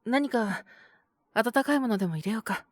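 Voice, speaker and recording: A woman voicing a calm, caring grown man, a shop owner, with gentle, measured speech in a Japanese audio drama. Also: no room sound, clean studio sound. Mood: gentle concern. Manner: gentle, measured, low-key.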